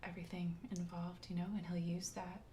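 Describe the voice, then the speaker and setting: A woman, a new mother and guest, chatting softly and supportively, often dropping to a near whisper. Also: lowish voice, completely flat delivery, brisk, slight background noise. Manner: soft-spoken, often drops to a whisper, affirming. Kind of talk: casual chat.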